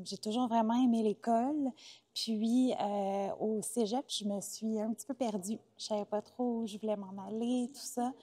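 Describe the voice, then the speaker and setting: A woman's voice: mid-range voice, warm tone. A woman in her thirties with a Québécois accent, chatting as an interview guest, talkative with many filler sounds. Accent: Québécois French. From Quebec, Canada. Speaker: interview guest, former teacher turned entrepreneur. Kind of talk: casual interview conversation.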